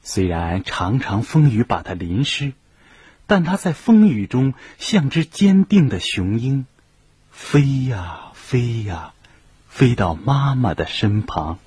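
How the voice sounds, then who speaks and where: A man narrating a children's radio play in an expressive storybook style. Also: medium pitch, takes it slowly, breathy edge, dry recording.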